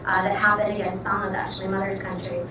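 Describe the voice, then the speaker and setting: A young woman with an American accent, a startup founder giving an earnest pitch talk to a live audience, full of ums and likes. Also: flat delivery. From Pakistan. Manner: earnest, fast-flowing, many ums and likes. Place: event room with audience. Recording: echoey room, far mic, noisy.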